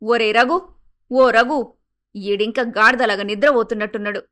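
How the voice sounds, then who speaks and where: A woman's voice: on the high side, normal speed, bright, forceful tone. A woman playing a bossy, grumpy middle-aged mother-in-law with a Telangana Telugu accent, blunt and scolding, in a story cartoon. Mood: exasperated, scolding.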